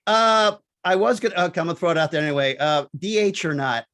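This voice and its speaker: A man hosting a casual interview show, playfully teasing his guest with quick-fire questions. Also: high-pitched, expressive, a bit bright. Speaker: show host.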